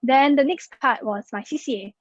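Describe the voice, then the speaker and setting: A woman's voice: high-pitched, moderate pace, no echo. Chatty young woman, dull sound. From Singapore. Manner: chatty, many fillers like "uh" and "like". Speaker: scholarship student sharing her experience. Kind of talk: slide presentation, casual and explanatory.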